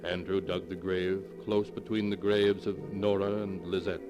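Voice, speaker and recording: An actor playing a grave, ominous narrator of a horror tale in melodramatic 1940s radio style. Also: male voice, sits low, little pitch movement, moderate pace, muffled recording, natural voice. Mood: grim. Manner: solemn, melodramatic storytelling. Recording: old 1940s radio recording with music. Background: loud dramatic music.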